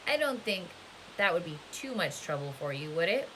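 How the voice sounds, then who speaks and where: A woman's voice: lowish voice, very animated, moderate pace, noisy background. Woman playing a coolly menacing female camp warden. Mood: quietly threatening. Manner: controlled, polite but threatening. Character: soft-spoken, menacing, commanding woman prison-camp warden.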